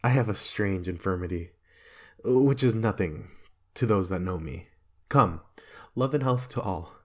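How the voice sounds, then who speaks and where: A man acts out a haunted Shakespearean king in a dramatic reading. His voice is low and husky, and the verse comes slowly, with a brooding, fearful, raging temper. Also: expressive, a little mellow. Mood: forced cheer. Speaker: amateur reader in a dramatic reading.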